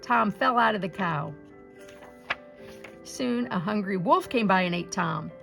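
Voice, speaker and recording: A woman reads a children's fairy tale aloud, in a gentle storybook manner. Her voice carries heavy vocal fry and sits at a middle pitch. Soft music plays faintly behind her. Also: lively intonation, a little slow.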